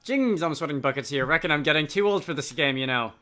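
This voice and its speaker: A man's voice: a little high, expressive, fast talker, sharp tone, clear, resonant tone. A man voicing a cheery, food-loving male scholar adventurer from a game, breezy and colloquial.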